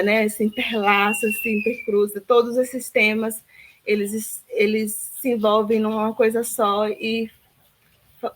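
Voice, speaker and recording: Woman guest, talks real slow and thoughtful, lots of pauses and little tag questions. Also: medium pitch, low-quality recording. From Salvador, Bahia, Brazil. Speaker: guest, philosophy teacher. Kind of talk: reflective interview answer.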